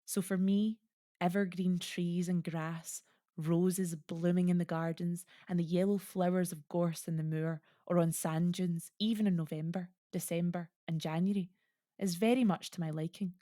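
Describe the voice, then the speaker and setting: A reflective immigrant mother, acted by a woman, reminisces in a lyrical audio play monologue. Her voice sits a little low and is recorded very dry. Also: steady tone, moderate pace, mellow, no echo, natural voice. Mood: fond, wistful. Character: reflective Estonian immigrant mother fond of winter.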